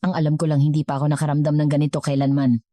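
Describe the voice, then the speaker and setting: A woman's voice: sits low, level tone, rapid-fire, dark tone, no echo, studio quality, natural voice. A Filipino-accented woman voicing a wealthy, earnest businessman in love, speaking sincerely and directly in storybook dialogue.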